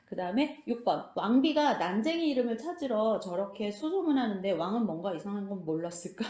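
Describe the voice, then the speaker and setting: A woman's voice: mid-range voice, big pitch swings, brisk, mellow. A woman hosting a book club lesson, explaining and commenting on questions in a conversational way. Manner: conversational, acts out imagined reactions in quotes. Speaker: host of a fairy tale book club channel. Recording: roomy, echoing indoor sound.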